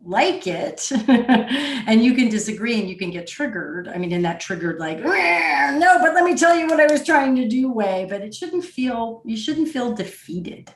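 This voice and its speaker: A woman's voice: fairly high pitch, animated, measured pace. Woman interviewer in an echoey room. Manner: responsive, frequent affirming murmurs. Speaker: podcast host, interviewer. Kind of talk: conversational interview. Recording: roomy, echoey sound.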